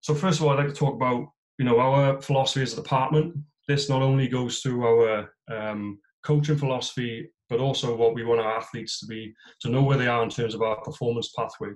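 A man's voice: mid-range voice, fairly even intonation, brisk, few pauses, low-quality recording. A man in his thirties with a South Welsh accent, presenting on a video call from a small room, talking loosely with many fillers and restarts. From South Wales. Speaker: head of physical performance, guest speaker. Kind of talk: webinar presentation. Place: small room at home, indoors. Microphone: video call on a laptop.

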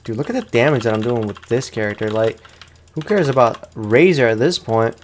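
Male gaming host, casual and slangy, medium-pitched, talking through gameplay at a desk microphone. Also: brisk, a little hiss or hum. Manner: slangy, talks to himself and to the game enemy. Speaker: gaming host, let's play streamer.

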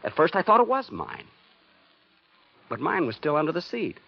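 A man's voice: fairly high pitch, very animated, moderate pace, gravelly, heavily acted. An actor playing a flustered, stubborn married man in a 1950s radio drama, stammering when upset. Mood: anxious explaining. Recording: muffled 1950s radio recording with music.

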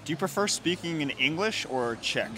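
A young man interviewing a guest in a cafe, asking quick, friendly questions. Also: fairly high pitch, animated, a crowd in the background, poor audio. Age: young adult. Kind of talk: casual street interview. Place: indoor cafe. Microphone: handheld microphone. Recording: music playing behind.

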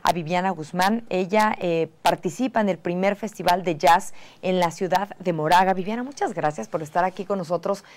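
A woman's voice: on the low side, normal speed, darkish tone. Polished woman TV host. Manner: polished, prompting questions. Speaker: TV morning show host. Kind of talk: news interview. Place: TV news studio, indoors.

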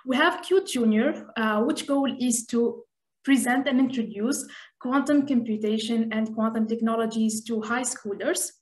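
A woman's voice: on the high side, moderate pace. A woman in her twenties from Tunisia with a Tunisian accent gives an explanatory online presentation over a video call, with frequent hesitations. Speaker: presenter, community representative. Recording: roomy video call sound.

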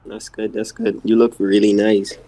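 A man's voice: on the low side, level tone, normal speed, warm tone. A teenage boy making awkward, hesitant small talk as a contestant on a video call. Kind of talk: casual chat on a group call.

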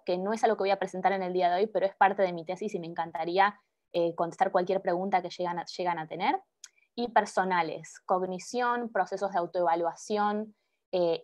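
A young woman presenting her doctoral research over a video call, pausing often with fillers and restarts. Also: fairly low pitch, darkish tone. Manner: hesitant, many filler sounds and restarts. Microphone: laptop microphone on video call. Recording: muffled video call audio.

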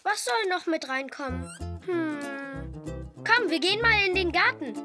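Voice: very high-pitched, lively intonation, measured pace, music in the background. A performer voicing a young cartoon zebra boy, sweet, eager and earnest, in a dubbed children's show. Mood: thoughtful, eager.

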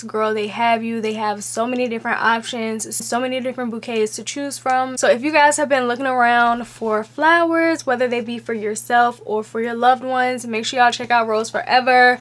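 A woman's voice: on the high side, a bit bright. A young American woman chatting casually to her viewers as a lifestyle vlogger, with a slangy, familiar way of talking. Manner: slangy, familiar, addresses viewers as besties and girl.

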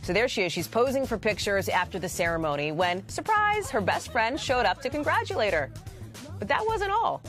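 An American woman in her thirties presenting lively, conversational TV news narration with emphatic delivery, in a studio. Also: fairly high pitch, a little fast. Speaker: news anchor. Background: music.

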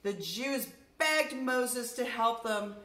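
A middle-aged woman, a nursery school teacher, reading a story aloud to young children in an expressive, deliberate way. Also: on the high side. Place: furnished room at home, indoors. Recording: roomy indoor echo.

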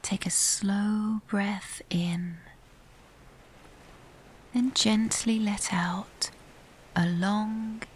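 A woman guiding a scripted meditation in a soft, breathy near-whisper, calm, soothing and unhurried with long pauses. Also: medium pitch. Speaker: meditation guide. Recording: steady background hiss.

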